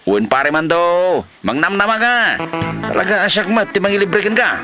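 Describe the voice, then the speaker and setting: An actor playing a lazy, sly husband in an Ilocano comedy drama, with an Ilocano accent and exaggerated, whining excuses. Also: male voice, high-pitched, expressive, normal speed, dull audio, loud music behind the voice. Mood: placating.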